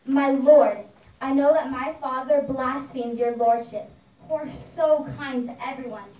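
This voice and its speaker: A woman's voice: high voice, steady tone, lo-fi sound, a little hiss or hum. A woman voicing a devout, compassionate saintly boy earnestly praying to his Lord in a puppet show. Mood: pleading, devoted. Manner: earnest, reverent, measured delivery. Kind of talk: devotional puppet show dialogue. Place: live puppet show in a reverberant room. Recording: echoey room, distant microphone.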